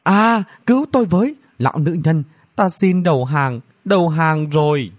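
A man's voice: fairly high pitch, very animated, normal speed, husky, muffled recording, pitched above the performer's own voice. A man voicing a bratty young princess in a fantasy audiobook, whiny and scolding, with exclamatory outbursts. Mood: desperate pleading.

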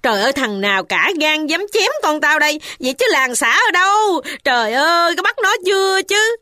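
A woman voicing a shrill, imperious older village matron who scolds and bullies. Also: animated, rapid-fire, sharp tone, no echo, studio quality. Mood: distraught.

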